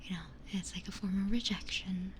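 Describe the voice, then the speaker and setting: Whispering woman doing soft ASMR chat. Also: mid-range voice, steady tone, a little fast. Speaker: ASMR streamer. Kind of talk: whispered ASMR casual chat with stream viewers. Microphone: close binaural ASMR microphone. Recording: faint hiss and ambient noise.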